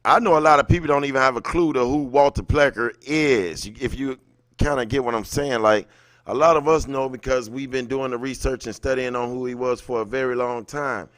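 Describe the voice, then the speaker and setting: A man's voice: mid-range voice, steady tone, fairly quick, a bit bright, no echo. A man hosting a casual livestream, rambling along and often repeating his phrases. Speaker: livestream host. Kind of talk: casual livestream chat, greeting viewers. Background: music playing.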